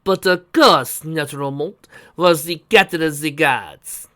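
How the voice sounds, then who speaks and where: Man putting on a pompous, high-voiced French guard captain. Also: animated, measured pace, bright, forceful tone, no room sound, clean studio sound, heavily acted. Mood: proud, excitable. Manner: pompous, exaggerated pride.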